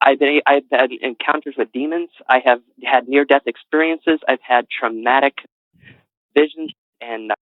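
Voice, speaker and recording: A man's voice: medium pitch, steady tone, normal speed, dry recording. Interview guest, man, faint New England accent, earnest and rambling, dry tinny line. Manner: rambling, self-correcting, earnest. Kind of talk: casual interview talk.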